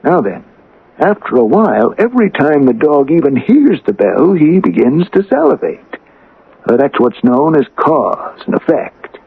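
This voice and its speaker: An actor playing an insinuating, folksy, coolly scheming townsman in a 1980s radio drama, with a raspy, creaky voice. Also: a little high, big pitch swings, a little slow, exaggerated voice. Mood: patient, explaining. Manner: folksy, leading, persuasive. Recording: dull lo-fi radio recording.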